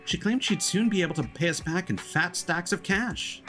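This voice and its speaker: A man's voice: high-pitched, normal speed, bright, forceful tone, soft background music, voice pushed up. A man voicing a friendly, caring young woman in a video game story. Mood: friendly, casual.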